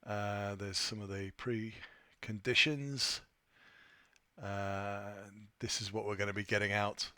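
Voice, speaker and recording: A man presenting an informal software tutorial, thinking aloud in a somewhat hesitant way as he demonstrates. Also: on the low side, fairly even intonation, slow pace, clean tone, no echo. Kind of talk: informal screen-share walkthrough.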